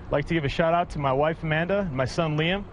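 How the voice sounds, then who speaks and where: A man's voice: a little high, fast talker, slight background noise. A man from Wisconsin who is a military officer, reciting a short formal greeting. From Milwaukee, Wisconsin. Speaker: soldier sending a greeting. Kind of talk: recorded shout-out message.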